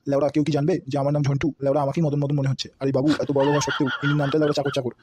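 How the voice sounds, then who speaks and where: Man voicing a rapid, flat-toned scheming cartoon henchman. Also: mid-range voice, noisy background, natural voice. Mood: defensive, irritated. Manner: grumbling, sly, crude. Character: scheming, foul-mouthed male servant and henchman of a politician. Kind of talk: comedy cartoon dialogue.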